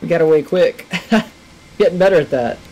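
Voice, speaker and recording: A man's voice: a little high, normal speed, dark tone, a little hiss or hum, rough recording. A man in his thirties giving casual, enthusiastic let's play commentary, musing to himself as he plays. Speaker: gaming video host. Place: indoor room at a desk.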